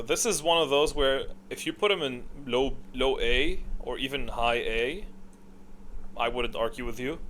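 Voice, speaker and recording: A man's voice: mid-range voice, a bit bright, slight background noise. A man giving casual, unscripted ranking commentary, thinking aloud in an opinionated way. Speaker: video host.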